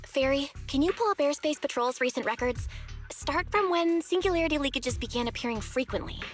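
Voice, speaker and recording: A woman playing a caring, slightly bossy young woman in a video game, speaking in an expressive, conversational way. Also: high-pitched, brisk, soft background music. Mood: thoughtful, curious.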